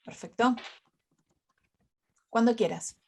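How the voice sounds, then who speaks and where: A woman moderating an online academic conference, introducing speakers in a halting, hesitant way. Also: fairly high pitch, gravelly, darkish tone, slight background noise. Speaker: session coordinator and moderator.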